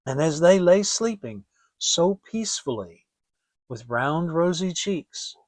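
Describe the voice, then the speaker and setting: A man giving an expressive, theatrical audiobook reading of a fairy tale as storyteller. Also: a little high, slow pace, no echo.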